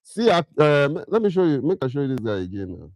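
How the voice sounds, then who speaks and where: Middle-aged Nigerian man hosting a chatty live Q&A, fairly high voice, dry close sound. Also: sing-song, quick pace, a little mellow, no echo. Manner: chatty, fires questions at viewers, slips into pidgin. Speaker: livestream host. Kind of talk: casual livestream chat with viewers.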